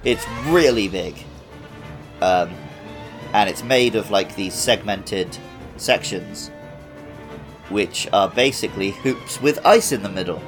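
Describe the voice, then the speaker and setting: A man's voice: lowish voice, expressive, slow, long pauses. A man co-hosting a video game podcast, giving casual commentary. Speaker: podcast co-host. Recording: music playing under the voice. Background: background music.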